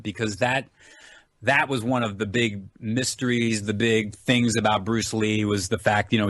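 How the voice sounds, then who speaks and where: A man's voice: mid-range voice, fairly bright tone, no echo. Male interview guest, medium register, dry recording, amiable conversational explainer. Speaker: interview guest, filmmaker and author.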